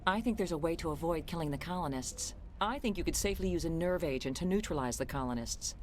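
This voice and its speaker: A woman's voice: low voice, lively intonation, fast, a little hiss or hum. A woman playing a resolute middle-aged colony leader and mother in a video game. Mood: practical.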